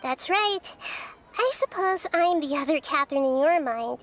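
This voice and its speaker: A woman voicing a wise, composed young goddess in a child's form, measured and earnest, in an anime game. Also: sits high, animated, slight background noise. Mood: calm, thoughtful. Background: game background music.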